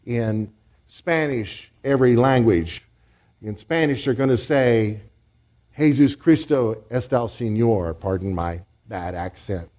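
A man's voice: mid-range voice, expressive, slow. Man preaching, leading call-and-response. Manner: call-and-response, leads congregation in shouts. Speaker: pastor. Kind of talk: sermon teaching.

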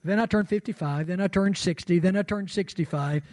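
A man's voice: sits high, fairly quick, darkish tone, no room sound. A man in his sixties preaching a sermon as pastor, conversational and fervent, with rising exclamations. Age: 60s. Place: church sanctuary.

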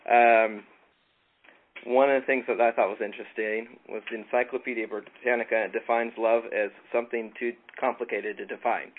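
A man from California leading a casual Bible study, relaxed and halting with frequent ums. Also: medium pitch. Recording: tinny phone-like line with faint noise.

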